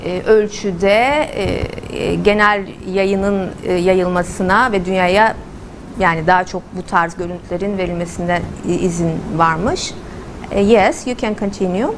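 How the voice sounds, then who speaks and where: A woman's voice: fairly low pitch, fairly even intonation. Woman hosting a live tv interview, translating as she goes, lots of uh's, faint hiss behind. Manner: halting, frequent fillers. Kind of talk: live TV interview, switching languages.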